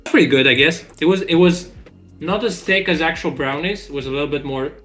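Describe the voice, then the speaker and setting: A man's voice: fairly high pitch, brisk, a bit bright, soft background music. A man on a game dev stream, chatting casually, rambling with restarts and tossing in swear words. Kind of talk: casual chat on a live stream.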